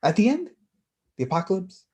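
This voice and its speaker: A woman's voice: medium pitch, very animated, a little fast, dark tone, natural voice. A woman acting a mild, wistful, evasive young man in a staged play reading, in a casual, hesitant way. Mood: musing.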